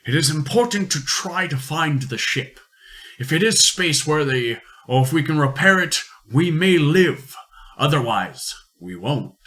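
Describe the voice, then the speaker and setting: A man playing a calm, blunt alien explorer with formal, measured phrasing in an amateur sci-fi radio play. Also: fairly high pitch, pitched above the performer's own voice. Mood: grimly practical.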